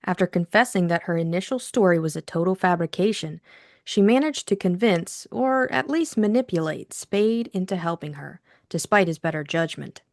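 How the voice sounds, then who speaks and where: A woman's voice: fairly low pitch, moderate pace, gravelly, no room sound, clean studio sound. A woman reading a scripted introduction and novel narration as co-host and narrator.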